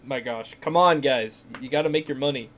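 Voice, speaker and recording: A woman's voice: extremely low pitch, brisk. A woman giving casual, wry let's play commentary as she reacts to a game. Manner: wry, informal.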